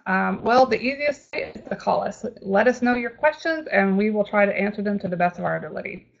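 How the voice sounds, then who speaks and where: A woman's voice: mid-range voice, vocal fry, muffled recording. A woman with a Texas accent, a travel agent giving a friendly, chatty webinar presentation with frequent ums and restarts.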